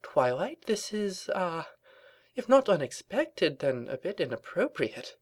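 Man putting on an airy, high genteel female pony. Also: big pitch swings, normal speed. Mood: nervous. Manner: polite, airy, hesitant. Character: genteel, cheerful, mildly concerned female pony colleague.

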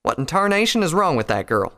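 A man's voice: mid-range voice, a little fast, a bit bright, voice pushed up, natural voice. A man voicing a plain-spoken, sober female country pony soldier with a blunt, folksy manner. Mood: annoyed.

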